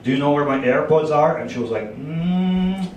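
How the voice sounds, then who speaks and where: A man in his thirties from Croatia with a Croatian accent, doing an animated, playful stand-up set on a club stage with a handheld mic. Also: on the high side, distant mic, very noisy. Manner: animated, playful storytelling with quick asides. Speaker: stand-up comedian. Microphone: handheld microphone. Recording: echoey club, audience laughter. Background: audience laughter.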